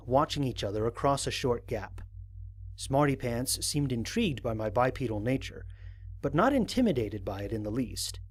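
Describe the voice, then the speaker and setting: A man playing an analytical, dryly wry explorer recording a mission log in an audio drama, in formal, precise, measured diction. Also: mid-range voice, a little mellow, husky. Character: analytical, dryly wry human explorer logging first contact.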